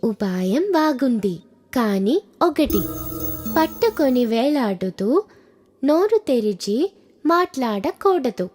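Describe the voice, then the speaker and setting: A woman voicing a helpful, cautious crane who gives deliberate advice in a children's animated fable. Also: on the high side, over music. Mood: considerate, cautioning. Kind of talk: children's animated moral story.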